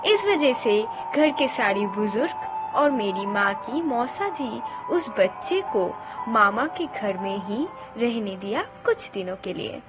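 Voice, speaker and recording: High, expressive woman telling ghost stories. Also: takes it slowly, low-quality recording. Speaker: storyteller. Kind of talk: dramatic ghost story narration. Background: music.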